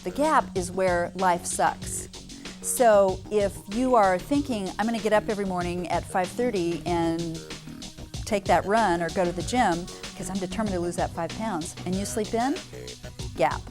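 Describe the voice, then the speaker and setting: A woman's voice: on the low side, moderate pace. Woman life coach, blunt and chatty, music playing under her. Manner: frank, emphatic and conversational. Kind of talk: interview answers.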